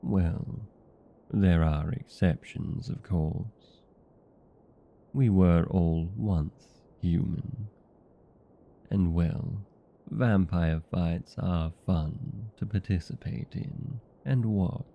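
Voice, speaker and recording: A courteous, proud old vampire played by a man speaks in a deep, creaky voice. He takes his time, with formal and genteel phrasing suited to a comedic audio roleplay. The sound is slightly dull and lo-fi. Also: very slow, exaggerated voice. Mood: genteel, composed.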